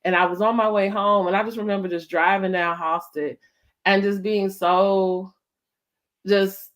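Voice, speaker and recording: A woman's voice: medium pitch, steady tone, unhurried, a bit bright. A woman with an American accent hosting a casual interview, talking conversationally with lots of "like" and "you know". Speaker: host of a family storytime interview.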